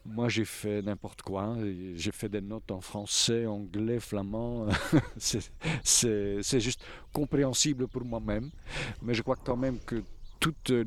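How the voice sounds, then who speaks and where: Slow, halting man. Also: fairly low pitch. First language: Flemish. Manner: halting, searching for words, frequent restarts. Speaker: theatre observer interviewee. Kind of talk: reflective interview answers.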